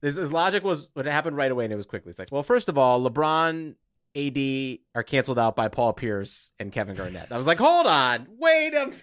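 A man's voice: medium pitch, big pitch swings, a little fast, fairly bright tone, dry recording, studio quality. Sarcastic man bantering on a sports podcast. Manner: sarcastic, swearing. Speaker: podcast co-host.

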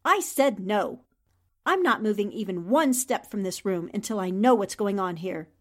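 A woman's voice: mid-range voice, expressive, normal speed, lightly acted. A woman playing a poised, intelligent newspaper columnist heroine, polite but firm and articulate, in 1940s radio drama style. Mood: defiant.

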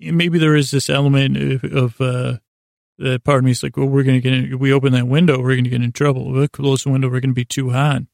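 A man hosting a bedtime podcast in a gravelly, creaky voice, rambling in a halting, meandering way that often circles back on itself. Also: medium pitch, level tone, a little mellow, no echo, studio quality. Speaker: host of a bedtime sleep podcast. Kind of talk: rambling, unscripted bedtime monologue.

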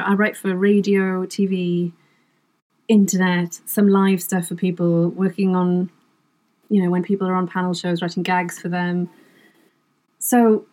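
A woman's voice: mid-range voice, a little mellow, rough recording. Chatty self-deprecating Welsh woman. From Wales. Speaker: comedy writer, guest. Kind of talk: casual interview chat.

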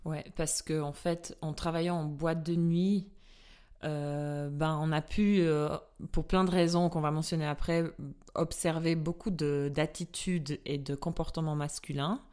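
Podcast co-host, woman, non-native French speaker, low voice, earnest and quick to laugh. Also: steady tone, darkish tone, clear, resonant tone. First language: not French. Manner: earnest, laughs easily, rephrases as she talks. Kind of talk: casual podcast chat. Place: studio in a Swiss city.

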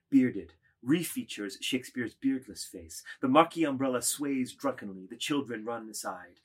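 A man's voice: medium pitch, slightly rough, close to an everyday voice. A man narrating literary stage directions from a script as part of a dramatised reading.

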